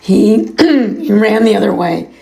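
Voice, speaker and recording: A middle-aged woman hosting her own podcast, with a hoarse, raspy voice, reading points from a list and breaking off into rambling personal asides. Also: medium pitch, lively intonation, takes it slowly, warm tone. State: hoarse from illness. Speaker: podcast host.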